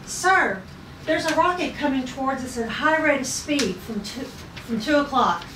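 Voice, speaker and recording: High-voiced young navigator, read by a woman, echoey. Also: animated, measured pace. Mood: urgent. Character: young female rocket navigator, dutiful but dryly sassy. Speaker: actor at a script table read. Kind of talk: retro space adventure script read-through. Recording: echoey room, distant mic, noisy.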